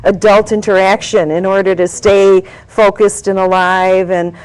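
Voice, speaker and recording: A woman's voice: mid-range voice, level tone, unhurried, darkish tone, poor audio. Chatty woman telling a story. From Italy. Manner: chatty, storytelling with frequent fillers. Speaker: guest. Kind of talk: casual talk show conversation.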